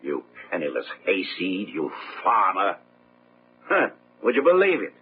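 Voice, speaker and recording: An actor playing an older, gray-haired multimillionaire patriarch in a radio drama, gruff, bossy and full of contempt. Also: male voice, low-pitched, huge pitch swings, unhurried, exaggerated voice. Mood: angry, scornful. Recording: muffled phone-like old radio sound, faint noise.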